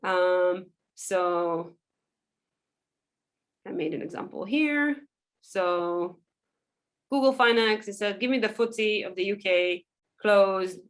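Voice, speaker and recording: A woman, a Hebrew speaker, giving a loose, chatty software workshop full of ums and small laughs. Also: mid-range voice, slow, pauses often. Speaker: workshop presenter. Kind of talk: casual software tutorial over screen share.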